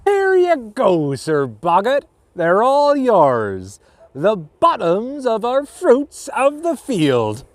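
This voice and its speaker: A man playing a quick-witted, polite but nervous farmer in a storybook tale, courteous and theatrical. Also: high-pitched, wildly swooping pitch, dry recording, voice pushed up, heavily acted. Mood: sly, cheerful. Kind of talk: storybook character voice.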